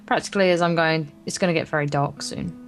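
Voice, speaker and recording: A woman's voice: low voice, fairly even intonation, fast, slight background noise. A woman co-hosting a let's play, reading visual novel narration aloud, stumbling over words and adding wry, casual asides. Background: acoustic guitar game music.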